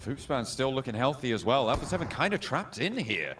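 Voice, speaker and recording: A man calling live esports action with rapid, punchy play-by-play. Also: mid-range voice, very animated, noisy background.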